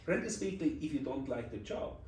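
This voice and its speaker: A man's voice: mid-range voice, lively intonation, brisk, a little mellow, distant mic, slight background noise. A Swiss man in his forties with a Swiss German accent, reflecting in a casual interview as a guest, speaking English as a second language. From Switzerland. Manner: reflective, non-native phrasing with restarts. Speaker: interview guest, design executive. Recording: roomy echo, voice from off-mic.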